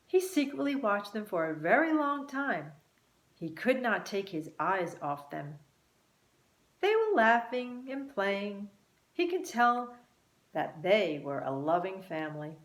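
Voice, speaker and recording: A woman reading a children's story expressively and deliberately, with dramatic pauses. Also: mid-range voice. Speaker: author and teacher reading own book. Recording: roomy, echoing room sound.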